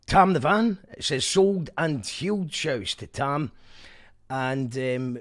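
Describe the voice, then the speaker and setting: A man's voice: medium pitch, takes it slowly, a crowd in the background. A man hosting a radio show, chatting casually and reading out listener messages with enthusiasm.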